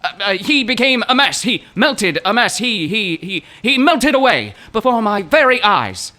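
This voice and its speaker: Excitable male professor, acted, high and swooping voice, florid and overwrought, comic audio drama, some ambient noise. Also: normal speed, exaggerated voice. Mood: agitated.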